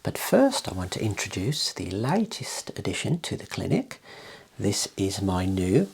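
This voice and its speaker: A very breathy, whispery voice with a low pitch, speaking in a hushed ASMR style. The delivery is slow and gentle, like a clinician carefully demonstrating instruments in a role play. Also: very animated. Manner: hushed, gentle, deliberate explaining.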